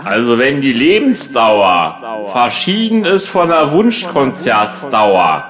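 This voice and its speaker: A man's voice: mid-range voice, sing-song, slow pace. A man playing a slangy, earnest, absurdly logical slacker band member in a radio comedy, talking in a colloquial, matter-of-fact way. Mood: earnest, deadpan.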